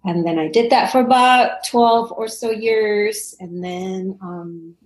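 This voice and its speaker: A woman's voice: medium pitch, slow pace. Chatty woman in an echoey room. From Indonesia. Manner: chatty, warm, laughs often, many restarts. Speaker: parent coach, guest. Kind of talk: casual interview answers.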